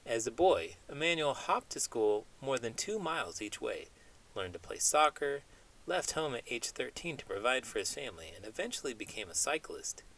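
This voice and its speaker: A man's voice: medium pitch, very animated, moderate pace, a bit bright, poor audio. A man reading aloud to children as a host, with dramatic, emphatic storytelling delivery. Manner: dramatic, emphatic phrasing with big emotions. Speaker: children's book reader and host, elementary school teacher.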